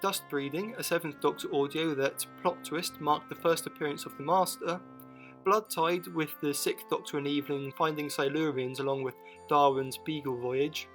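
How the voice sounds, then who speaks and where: A man with a fairly high voice narrates a scripted retrospective. He mixes summary with personal opinion, and soft music plays behind him. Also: fairly even intonation, normal speed. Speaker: video essay narrator. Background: music bed.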